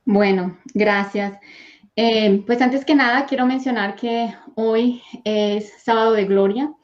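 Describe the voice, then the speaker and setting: A woman's voice: fairly high pitch, steady tone, slow. Earnest woman, muffled sound. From Colombia. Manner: soft, earnest and heartfelt, with gentle fillers. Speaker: guest, host's wife. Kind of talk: personal faith testimony. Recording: dull, lo-fi sound.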